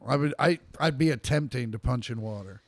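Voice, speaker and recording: A man's voice: mid-range voice, brisk, no room sound. A man co-hosting a casual comedy podcast, full of crude, playful banter. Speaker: podcast co-host.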